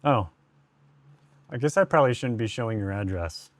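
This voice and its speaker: A man's voice: lowish voice, animated, normal speed. A man chatting casually and playfully as he makes a vlog. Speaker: video creator.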